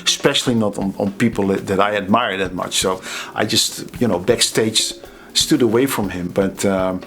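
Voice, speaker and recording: A middle-aged Dutch drummer with a Dutch accent, telling anecdotes in a chatty, casual way to the camera. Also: male voice, on the low side, moderate pace, a bit bright. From Netherlands. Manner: chatty, anecdotal, laughs at his own stories.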